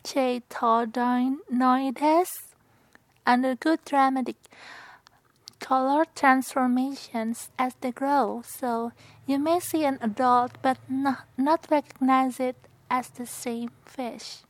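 Indonesian-accented woman vlogger, high-pitched and unhurried, soft whispery asides. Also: steady tone, no echo. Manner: soft-spoken, slips into whispered asides, giggly. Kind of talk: casual accent-tag vlog, reading questions aloud.

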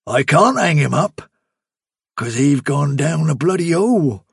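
Man doing a gravelly rural english brute, cruel, taunting, broad dialect, dry close sound. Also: medium pitch, expressive, slow, no room sound, full character voice. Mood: gloating. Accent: rural English dialect put on. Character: menacing rustic man, cruel and mocking.

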